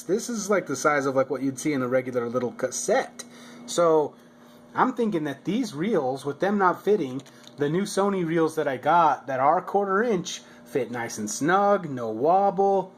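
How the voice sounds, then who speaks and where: A man's voice: on the high side, slight background noise. A man narrating a hobby project vlog in a casual, hesitant way, often stopping and repeating his words as he goes. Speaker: hobbyist video maker.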